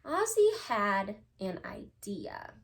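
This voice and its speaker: A woman teacher talking to young children and reading a storybook aloud in an expressive, emphatic way. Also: mid-range voice, slow pace, gravelly.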